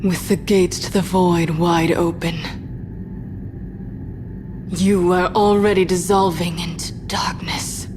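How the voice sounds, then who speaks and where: Very slow, haughty dark villainess. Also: female voice, fairly low pitch, steady tone, background noise. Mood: ominous disdain. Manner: slow, grandiose, taunting. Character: haughty, menacing sorceress-like villain serving darkness and doom. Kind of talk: scripted video game drama acting. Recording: music under the voice.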